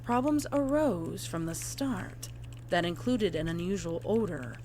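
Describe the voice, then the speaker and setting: A woman reading a scripted report in a formal, measured way as a narrator. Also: fairly low pitch, animated, darkish tone, slight background noise. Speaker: narrator of a horror fan fiction reading.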